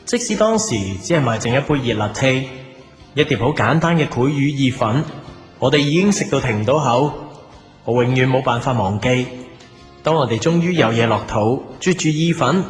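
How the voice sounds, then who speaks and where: A man's voice: mid-range voice, expressive, moderate pace, natural voice. A man with a Hong Kong Cantonese accent playing a wistful, struggling café owner who narrates a reflective, melancholy audio drama. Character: wistful struggling café owner recalling his lost wife. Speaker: lead actor and narrator. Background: music behind the voice.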